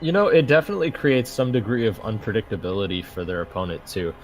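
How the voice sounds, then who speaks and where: A man doing live esports commentary in a measured, analytical way. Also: lowish voice, over music. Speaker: esports caster.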